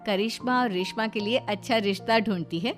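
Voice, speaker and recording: A woman's voice: medium pitch, moderate pace, music in the background. A woman reading scripted narration for a cartoon family story as a storyteller.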